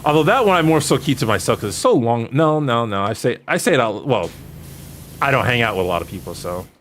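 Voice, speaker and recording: A man's voice: mid-range voice, expressive, fast, a bit bright. Rambling man streamer, noisy recording. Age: early 30s. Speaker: streamer answering viewer questions. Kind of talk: casual livestream chat, reading out and answering questions. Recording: noticeable background noise.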